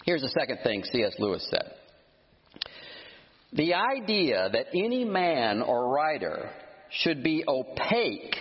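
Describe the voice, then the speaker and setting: A man preaching a sermon, emphatic and animated, scornful of his critics, with frequent restarts. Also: a little high. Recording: muffled sound with long hall reverb.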